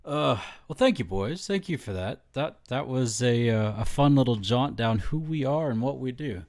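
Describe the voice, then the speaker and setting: A man's voice: medium pitch, lively intonation, moderate pace, darkish tone. A man hosting a casual podcast, reading listener comments aloud and laughing often. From Ohio. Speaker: podcast host.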